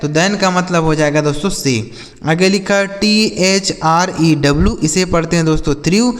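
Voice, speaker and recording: A man's voice: on the high side, moderate pace, long reverb tail. A man teaching English vocabulary, spelling each word letter by letter and repeating its meaning several times. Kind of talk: slow spelled-out vocabulary lesson.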